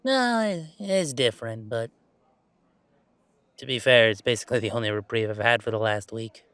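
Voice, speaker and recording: Casting: male actor as werewolf gamer boyfriend type for roleplay audio, mid-pitched, conversational, weary, sighing, teasingly defensive, dry studio sound. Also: expressive, normal speed, a bit bright, clean tone, no echo, close to an everyday voice. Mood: relaxed, wry. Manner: sighing, bantering, mock-defensive complaining. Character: grumbling, playful werewolf gamer streamer, weary but fond. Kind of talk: one-sided roleplay audio drama, conversational.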